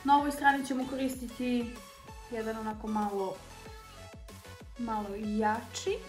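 A woman's voice: a little high, fairly even intonation, moderate pace, reverberant, soft background music. A young woman from Serbia, talking to camera in a makeup tutorial, chatty and full of fillers. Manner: chatty, many fillers, speaks to viewers directly. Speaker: beauty vlogger. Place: small indoor room with shelves.

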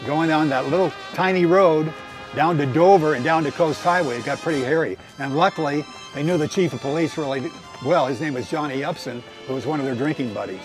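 A man's voice: fairly high pitch, fairly quick, music in the background, poor audio. An older American man around his seventies, talking as a folksy harbor tour guide and reminiscing in an informal way. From Southern California, United States. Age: older, around 70s. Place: outdoors on a small moving boat in a harbor.

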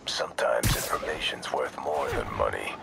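An actor voicing a cold, smug mercenary villain in a video game, taunting in a gravelly whisper. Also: male voice, rumbling low, moderate pace, heavy background noise, full character voice.

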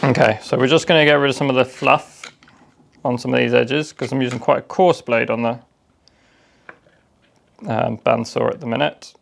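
A man explaining a woodworking job step by step in a relaxed, practical way while he works in his home workshop. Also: mid-range voice, normal speed, vocal fry, long pauses. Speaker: DIY workshop host. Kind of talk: casual how-to narration while working. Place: cluttered home workshop, indoors.

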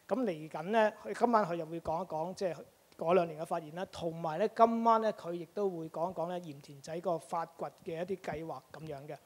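Halting high-voiced man introducing a talk. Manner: halting, many fillers. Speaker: society chairperson introducing the speaker. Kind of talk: formal introduction. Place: lecture theatre. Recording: reverberant hall.

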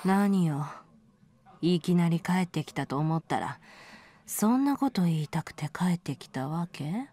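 An actress playing a proud, casual, teasing big sister who tends a bar, in a video game drama. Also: female voice, fairly low pitch, lively intonation, measured pace, mellow. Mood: hurt, indignant.

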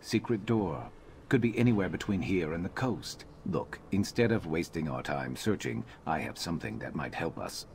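A gruff fantasy game rogue with a very low voice. He is dry and terse, like a conspirator planning a job. Ambient game noise sits behind him. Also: lively intonation, normal speed, darkish tone. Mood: focused. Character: gruff, sardonic male rogue adventurer in dark armour. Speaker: companion character in a fantasy video game. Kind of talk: scripted game dialogue.

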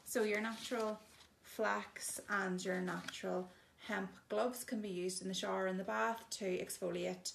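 A woman's voice: mid-range voice, fairly even intonation, unhurried, vocal fry, hardly any pauses, fairly bright tone, slight background noise. A woman giving a chatty, casual demonstration of bathroom products, explaining each one plainly with frequent ums. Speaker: shop presenter showing products.

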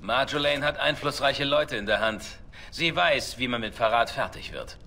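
An actor voicing a roguish, cynical elf outlaw in a video game, with a dry, wry way of talking. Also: male voice, mid-range voice, moderate pace, pushed and bright. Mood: matter-of-fact. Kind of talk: dramatic video game dialogue.

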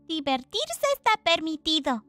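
High, lilting tiny sprite, voiced by a woman. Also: big pitch swings, measured pace, no room sound, soft background music, heavily acted. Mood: teasing. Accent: Latin American. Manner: gentle, encouraging. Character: tiny, kindly female fairy-like bug sprite companion. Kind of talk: fan dub of a comic.

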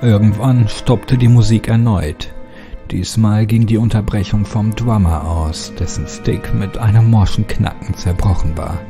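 A man's voice: on the low side, fairly even intonation, a little slow. A man giving a scripted, dramatic first-person narration of a horror story. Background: music.

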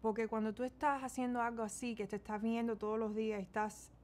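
A woman's voice: a little high, flat delivery, a little fast, a little mellow. A young woman in her twenties from Miami, with a Cuban-American accent, chatting casually through a makeup tutorial and slipping English words into her Spanish. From United States, Miami. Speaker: beauty vlogger. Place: small bedroom indoors.

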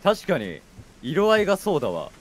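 A man's voice: on the high side, huge pitch swings, normal speed. A man in casual, offhand co-op gaming chat, reacting and bantering with his partner as he plays. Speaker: video game streamer. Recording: game music behind the voice. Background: video game music.

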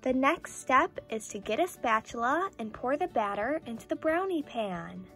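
A cheerful doll girl, played by a woman, speaks in a fairly high voice over music. She is sweet and enthusiastic, explaining things step by step like the host of a toy play video. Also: lively intonation, moderate pace, fairly bright tone. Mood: cheerful, instructional. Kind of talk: scripted doll play narration.

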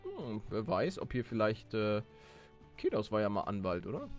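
A man's voice: fairly low pitch, wildly swooping pitch, moderate pace. A man giving casual gameplay commentary with some role-play, often hesitating and repeating words as he coaxes along. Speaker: gamer playing a Minecraft story series. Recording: music under the voice. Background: music.

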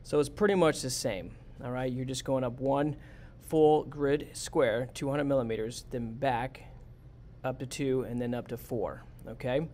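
A male teacher explaining a coding exercise step by step in a plain, practical way. His voice sits at an ordinary middle pitch. A faint hiss runs under his computer-mic recording. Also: steady tone, unhurried, darkish tone. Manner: plain, step-by-step, talks students through it. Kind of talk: screen-recorded coding lesson.

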